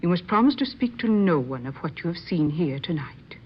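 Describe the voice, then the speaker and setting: An actress playing a stern, imperious medium in an old-time radio drama, speaking in a formal, commanding manner. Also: female voice, lowish voice, animated, brisk, close to an everyday voice. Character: stern, imperious married woman who works as secretive medium. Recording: muffled, noisy old radio recording.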